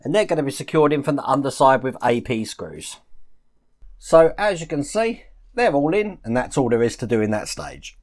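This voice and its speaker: A man's voice: medium pitch, sing-song, normal speed, darkish tone. A man chatting casually through a step-by-step build tutorial, explaining each move as he works. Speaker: host of a model-building channel.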